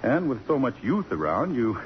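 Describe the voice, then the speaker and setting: An actor playing a courtly, wistful middle-aged college president in 1950s radio drama style, gentle and hesitant. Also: male voice, mid-range voice, big pitch swings, moderate pace, lightly acted. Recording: dull, noisy vintage radio recording.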